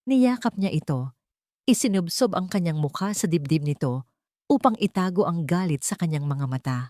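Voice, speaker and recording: A woman narrates a scripted dramatic story. Her voice sits low for a woman, and the recording is dry and close, with hardly any room in it. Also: expressive, normal speed, mellow, dry recording.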